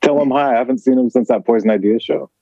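A man's voice: mid-range voice, quick pace, warm tone, no room sound, highs cut off. An American man hosting and interviewing in a casual, conversational style. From Portland, Oregon, US. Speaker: podcast host and interviewer.

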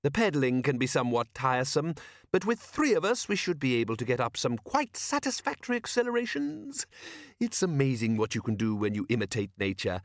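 A man voicing a gentle, absent-minded male inventor in a storybook, diplomatic and earnest, with a mild, apologetic, rambling manner. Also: medium pitch, big pitch swings, brisk, dry recording, clean studio sound. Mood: earnest, enthusiastic.

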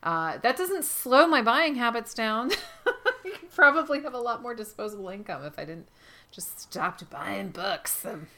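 A woman's voice: a little high, sing-song, moderate pace, pushed and bright. A woman guest on a podcast, chatting casually about books with hesitant phrasing and trailing asides.

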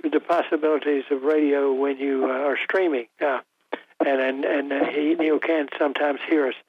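An older man hosting a call-in radio talk show, talking in a halting way with many fillers and restarts, his voice a little rough. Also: mid-range voice, little pitch movement, dry recording. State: voice slightly rough after illness. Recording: dry, tinny broadcast audio.

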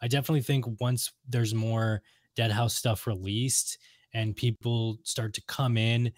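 A man's voice: medium pitch, fairly even intonation, measured pace, no room sound, clean studio sound. A man co-hosting a casual podcast chat, rambling with enthusiasm and repeating himself for emphasis. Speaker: podcast co-host discussing video games and lore.

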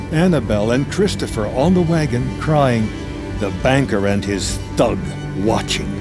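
A man reads a screenplay as narrator, measured and dramatic. His voice sits on the low side and he takes his time, with music clearly playing behind him. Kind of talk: scripted screenplay narration, present tense.

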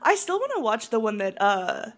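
A woman with a slightly high voice chats casually as a podcast co-host. She is giggly and often lets a laugh into her sentences. The talk is informal and conversational. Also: very animated, moderate pace, a bit bright. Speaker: co-host of a horror movie podcast. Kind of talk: casual chat.

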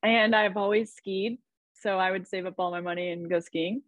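A woman's voice: medium pitch, fairly even intonation, moderate pace, no room sound. A woman from Idaho, a podcast guest, chatting in an upbeat way and laughing often. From Idaho, USA. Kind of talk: casual conversation.

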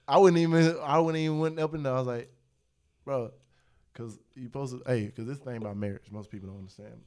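A man's voice: mid-range voice, steady tone, quick pace, dark tone, smooth. Blunt, slangy man in casual chat. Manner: blunt, slangy, opinionated, profane. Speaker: podcast host.

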